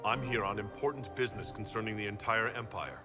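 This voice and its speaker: A man's voice: on the low side, a little fast, natural voice. A man playing a guarded, authoritative Inquisition leader in a fantasy video game, speaking in a careful, businesslike way. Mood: guarded, dry. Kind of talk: video game cutscene acting. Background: music.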